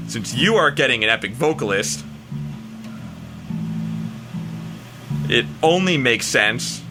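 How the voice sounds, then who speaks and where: A man voicing a pompous, demanding rock singer in a grandiose, emphatic comic dramatic reading. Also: medium pitch. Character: pompous, demanding, self-important male rock singer writing an ad.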